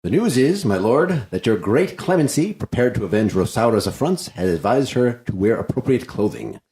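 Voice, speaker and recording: A man's voice: mid-range voice, big pitch swings, normal speed, mellow. A man reading the part of a wordy, complaining comic servant in a classical play, with wry, theatrical flourishes. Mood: gossipy, eager. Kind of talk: unrehearsed dramatic play reading of classical comedy. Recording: echoey room.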